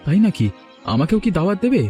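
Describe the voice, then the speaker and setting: A man plays an earnest young student in a cartoon story. The voice is soft-toned and slightly high, moving quickly and with lively swings. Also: faint music behind. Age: young adult. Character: earnest young student son of moneylender, supportive sibling. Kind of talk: Bengali cartoon story dialogue.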